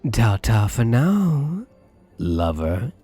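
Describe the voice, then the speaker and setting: Man acting a slow, husky, possessive suave gambler. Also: mid-range voice, sing-song, warm tone, faint music behind, heavily acted. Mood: smug, triumphant. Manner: suave, teasing, theatrical endearments. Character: possessive, smug, wealthy male gambler doting on captive lover. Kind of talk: intimate ASMR roleplay acting.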